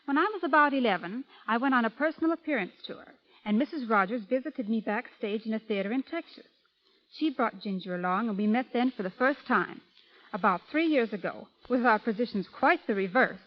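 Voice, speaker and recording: High-voiced woman on dull old radio. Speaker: film stand-in and guest. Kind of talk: scripted radio interview with product testimonial. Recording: dull 1930s radio broadcast recording.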